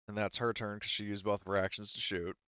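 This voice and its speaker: Deep-voiced man running a tabletop game. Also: little pitch movement, fast, sharp tone, clean tone, no echo, muffled recording. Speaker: game master. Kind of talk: running a tabletop roleplaying game, casual rulings.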